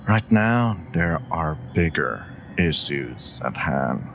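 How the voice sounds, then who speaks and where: A low-voiced, haughty wolf familiar acted by a man in a fantasy audio drama. He talks slowly and dryly, with smug, sharp-tongued bite and expressive swings of pitch. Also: gravelly, background noise, exaggerated voice. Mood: dry, concerned. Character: dry, haughty, clever male wolf familiar of a mage. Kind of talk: scripted audio roleplay acting.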